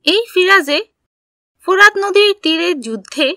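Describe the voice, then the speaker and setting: A woman narrating a scripted history story for children, telling it in simple, deliberate phrases. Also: very high-pitched, sharp tone, no echo, studio quality.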